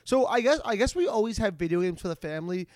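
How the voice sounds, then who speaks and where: High-voiced excitable man, stammering. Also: fast, no room sound, clean studio sound. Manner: excitable, stammering, often repeating words. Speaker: podcast co-host. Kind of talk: casual comedic podcast banter.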